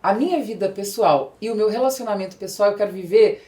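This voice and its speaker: A woman's voice: mid-range voice, brisk, background noise. A Brazilian woman hosting a conversational podcast interview, speaking emphatically and repeating phrases for effect. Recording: echoey room.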